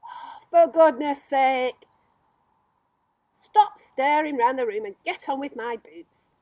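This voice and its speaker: A woman voicing a bossy, impatient male centipede in a children's storybook, with loud, emphatic demands. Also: high voice, takes it slowly, dry recording.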